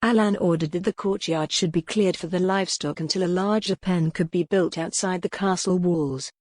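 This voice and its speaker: Low-voiced woman narrating historical romance. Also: steady tone, normal speed, darkish tone, dry recording, clean studio sound. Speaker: audiobook narrator.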